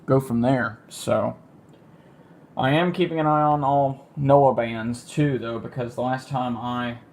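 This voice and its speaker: Gravelly American guy livestreaming, some background noise. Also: medium pitch, fairly even intonation, measured pace, a little mellow. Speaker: livestream host, amateur storm watcher. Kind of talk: casual livestream commentary on weather. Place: indoor room at home. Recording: noisy amateur livestream audio.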